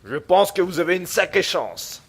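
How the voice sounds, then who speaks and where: A man playing a steady, commanding and superstitious ship's captain in an audio drama, firm and solemn. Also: high-pitched, normal speed, slightly rough, full character voice. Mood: grave, foreboding. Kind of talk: dramatic dialogue, audio fiction. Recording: noisy ambience around the voice.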